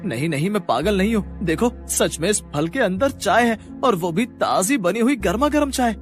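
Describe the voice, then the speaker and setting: A man voicing an eager, cheerful village tea seller in a children's story, excitable and emphatic. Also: sits high, moderate pace, faint music behind. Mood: amazed.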